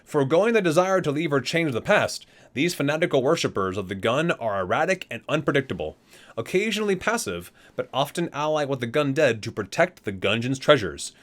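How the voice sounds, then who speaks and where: A man's voice: mid-range voice, sing-song, fairly quick. A man hosting a gaming ASMR video, reading entries aloud and dropping into casual, amused asides.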